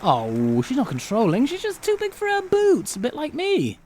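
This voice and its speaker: A man's voice: very high-pitched, wildly swooping pitch, normal speed, darkish tone, slight background noise, heavily acted. A man playing the Doctor, a witty, humane alien adventurer who talks in wry, flustered repetitions in an audio drama. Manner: flustered, repeats words, wry.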